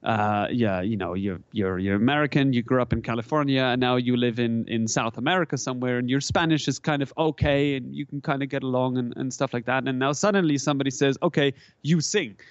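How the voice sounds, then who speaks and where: Halting man, dry sound. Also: medium pitch, no echo, dull audio. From German-speaking Switzerland. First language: Swiss German. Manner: halting, full of restarts and repeated words. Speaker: musician guest. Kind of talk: casual interview answers.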